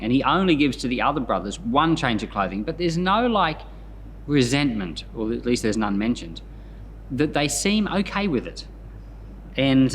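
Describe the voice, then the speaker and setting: Expressive man with lively, swooping pitch. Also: a little hiss or hum. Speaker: Bible podcast host and pastor. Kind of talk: Bible reading followed by casual commentary.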